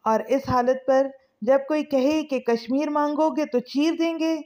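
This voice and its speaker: A woman narrating a scripted story as a channel host, with an expressive, questioning delivery. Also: sits high, a little slow.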